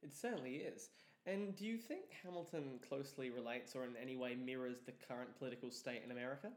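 A man hosting an interview, putting prepared questions in a polite, measured way. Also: medium pitch. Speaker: interview host. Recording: roomy, echoing sound.

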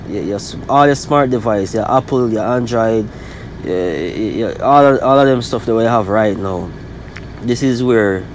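A man with a Jamaican accent in casual discussion, telling stories in halting, repetitive phrases. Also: lowish voice, a little mellow, slight background noise, rough recording.

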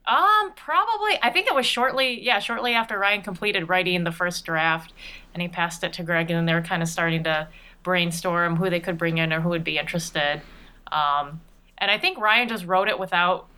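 Bright, clear-voiced woman chatting casually. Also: fairly low pitch, moderate pace. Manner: chatty, playful. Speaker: podcast regular and voice performer being interviewed. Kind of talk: casual interview chat.

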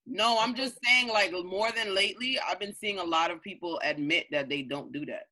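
A speaker in their late twenties talks in an easygoing, matter-of-fact way in casual chat. The voice is bright and sits at a middle pitch. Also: moderate pace, low-quality recording. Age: late 20s.

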